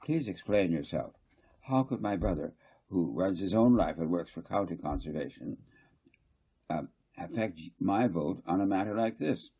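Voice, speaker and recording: A man reading a story, voicing a reasonable but defensive small-town mayor in a measured, questioning way. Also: sits low, animated, warm tone, breathy edge, heavily acted.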